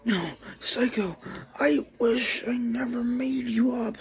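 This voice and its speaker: A man voicing a deranged, tormented teenage anime girl, swinging between whispers and shrill giggles. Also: fairly even intonation, takes it slowly, gravelly, full character voice. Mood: manic.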